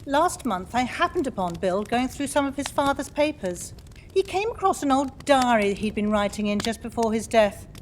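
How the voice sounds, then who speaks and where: A woman's voice: fairly high pitch, animated, normal speed, lightly acted. A woman acting a touchy, outspoken Victorian lady in an audio play, emphatic and forthright. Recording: faint background noise.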